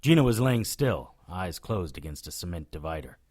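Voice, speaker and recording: A wry witch hunter telling his own adventure in an audio drama. His voice sits fairly low, dry and sardonic, with a cocky streak. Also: very animated, moderate pace, darkish tone. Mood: grave narrating. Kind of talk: first-person audio drama narration and dialogue.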